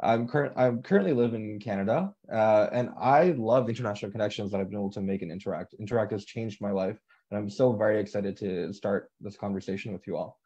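A man's voice: lowish voice, quick pace, mellow. Upbeat young man moderating a video call. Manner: upbeat, encouraging. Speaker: council chair and moderator. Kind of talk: moderating a live video panel. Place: home room. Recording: slightly muffled video call audio.

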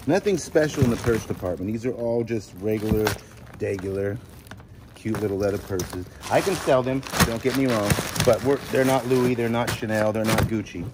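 A man in his forties with a lowish voice hosts a casual vlog. He talks informally and slangily, repeating phrases as he comments on what he handles. Clear outdoor noise and vehicle sounds run behind him. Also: normal speed. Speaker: host of a storage auction vlog. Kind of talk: casual vlog chat and commentary while sorting finds. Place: outdoors at a storage unit lot, partly in a vehicle.

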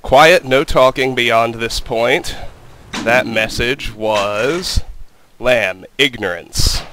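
A man hosting a let's play, calmly talking through the game and reading its text aloud, his voice a little weak from a cold. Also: medium pitch, very slow, background noise. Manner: calm, methodical, reads in-game text aloud. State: has a cold, voice slightly weak. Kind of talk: casual gameplay commentary. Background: game music.

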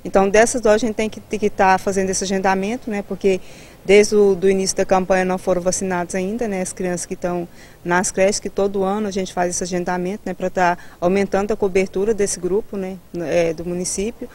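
A woman in her thirties with a Brazilian Portuguese accent explains things as a health official in an interview. Her voice is dark and soft-edged, at a middling pitch. She speaks haltingly, dropping in tag words, into a handheld mic outdoors. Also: little pitch movement, a little hiss or hum. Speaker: public health immunization director. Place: outdoors in a building's garden. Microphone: handheld reporter microphone held toward her.

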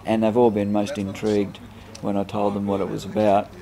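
A man's voice: lowish voice, completely flat delivery, a little fast, gravelly. A man, a singer from India, talking in an interview about recording his album in a studio. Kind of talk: documentary interview. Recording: music playing behind the talk.

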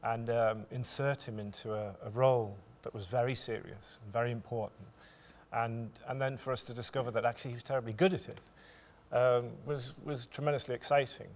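A man in his forties, a writer speaking thoughtfully and in halting, measured phrases on a discussion panel. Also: mid-range voice, steady tone. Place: theatre stage, indoors.

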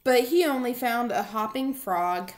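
A young adult woman reads a picture book to little children. Her voice sits a bit high. She is recorded in a room at home, a short way from a computer microphone. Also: measured pace, a little hiss or hum. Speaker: storytime reader for young children. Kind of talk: read-aloud children's storytime. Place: small home room, indoors.

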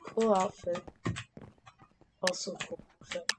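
A woman with a raspy, hoarse voice from being sick, giving casual gameplay commentary, easily frustrated and prone to sudden startled outbursts. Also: fairly low pitch, steady tone, takes it slowly. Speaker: gamer. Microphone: desk microphone. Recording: loud background noise with keyboard and mouse clicks.

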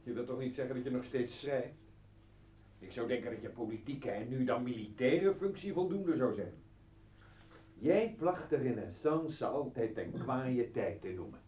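An actor playing a bitter, sarcastic professor and husband in a radio drama, scornful and sharp-tongued. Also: male voice, mid-range voice, lively intonation, moderate pace, recorded from a distance, close to an everyday voice. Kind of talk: Dutch radio drama acting. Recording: roomy, noisy, muffled old radio recording.

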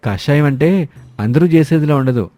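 A man voicing a fond, encouraging young village-born husband in an animated moral story, over music. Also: on the high side, moderate pace, no echo, close to an everyday voice. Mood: cheerful.

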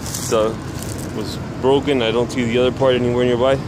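A man's voice: mid-range voice, level tone, a little fast. A man vlogging as he walks city streets at sunset, rambling and restarting, recorded on a handheld camera mic. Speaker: vlogger exploring old rail sites. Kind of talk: casual walking commentary. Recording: outdoor traffic noise. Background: street traffic.

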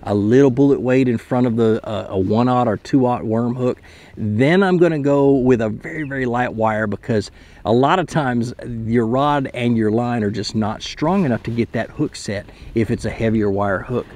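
A man's voice: mid-range voice, moderate pace, darkish tone. A man in his thirties explaining a fishing technique to camera from a kayak on a lake, in a chatty, explanatory way with frequent restarts. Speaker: fishing channel host. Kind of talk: casual outdoor tutorial to camera. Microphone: camera mounted close in front.

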